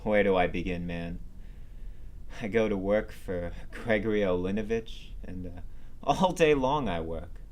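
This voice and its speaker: A despairing moth unburdens itself, voiced by a man in a lowish voice. The delivery is world-weary, halting and rambling, in the manner of a long storytelling joke. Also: a little mellow. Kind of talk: deadpan storytelling joke monologue. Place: student TV studio.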